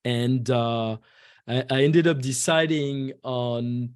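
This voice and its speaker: A man in his forties, born and raised in France and speaking English as a second language, answers interview questions in a halting way, full of fillers and restarts. His voice sits at an ordinary pitch and sounds close and dry, with no room around it. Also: clear, resonant tone, dry recording. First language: French. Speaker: guest investor. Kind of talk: podcast interview.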